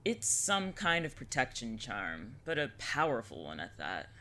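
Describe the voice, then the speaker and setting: A woman's voice: a bass voice, sing-song, a little slow, sharp tone, heavily acted. A woman playing a wry, teasing older witch mentor in an audio drama, talking in a casual, slangy way. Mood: serious, explaining. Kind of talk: scripted audio drama acting.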